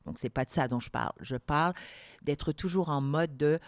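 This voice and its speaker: Calm, measured older woman guest. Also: low voice, no echo. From born in Morocco, grew up in Algeria. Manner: calm, measured, emphatic repetitions. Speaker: guest, entrepreneur. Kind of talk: reflective interview talk.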